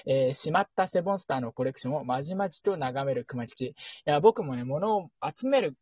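Lively husky young man, lo-fi sound. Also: a little high, fairly quick, no echo. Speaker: podcast host. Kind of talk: casual two-person podcast chat with mock news segment. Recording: dull lo-fi audio.

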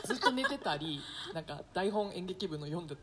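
A woman's voice: on the low side, lively intonation, fairly quick, very noisy. A woman hosting a casual radio show, chatting jokily and reading out listener mail. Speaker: host, stage actor. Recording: long reverb.

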